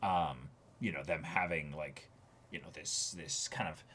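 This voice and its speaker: A young man co-hosting a casual discussion show, deliberate and thinking aloud as he comments. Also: rumbling low, fairly bright tone, breathy edge. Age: young adult. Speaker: co-host of an anime reaction show. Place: small indoor room, seated before a backdrop.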